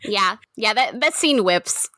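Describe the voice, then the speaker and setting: A woman's voice: medium pitch, expressive, slow pace, sharp tone, no echo. A woman co-hosting a podcast, talking casually with enthusiasm, slang and swearing. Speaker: podcast co-host.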